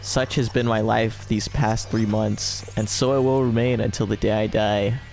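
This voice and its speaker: Gravelly man hosting a gaming video. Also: on the low side, fairly even intonation, moderate pace, darkish tone. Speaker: gaming video host reading a visual novel aloud. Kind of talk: casual gameplay chat with friend, read-aloud narration.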